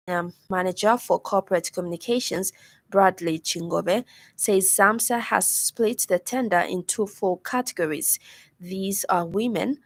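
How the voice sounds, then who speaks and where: Zambian woman reading radio news. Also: medium pitch. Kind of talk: scripted news bulletin reading.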